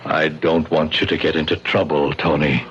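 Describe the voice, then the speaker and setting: Deep-voiced, anxious loyal friend. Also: male voice, flat delivery, moderate pace, a bit bright, breathy edge, exaggerated voice. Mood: alarmed, reluctant. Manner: hesitant, pleading. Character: anxious, apologetic male friend, timid and loyal. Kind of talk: old-time radio drama acting. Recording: dull, noisy old radio broadcast.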